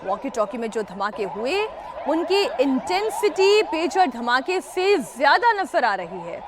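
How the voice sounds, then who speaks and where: A woman's voice: high-pitched, big pitch swings, measured pace, noisy background. A woman news anchor narrating dramatically, with an emphatic, urgent delivery.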